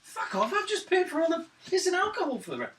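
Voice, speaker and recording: A man's voice: extremely high pitch, sing-song, quick pace, fairly bright tone. A man bantering casually as a player in a tabletop game, recorded from a distance in a noisy, echoey room. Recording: echoey, distant mic, clear noise.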